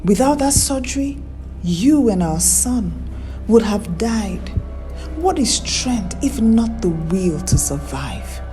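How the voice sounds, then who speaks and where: A woman's voice: mid-range voice, expressive, takes it slowly, over music. A woman storyteller voicing a devoted, reassuring husband in a folktale, earnest and supportive. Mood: tender, earnest. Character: devoted, reassuring young husband of a new mother.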